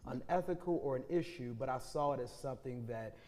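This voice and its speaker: A measured, reflective man on a university panel, often adding "right?" to his points. His voice is mellow and dark in tone. It rings with a long hall reverb. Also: mid-range voice. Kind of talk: panel discussion.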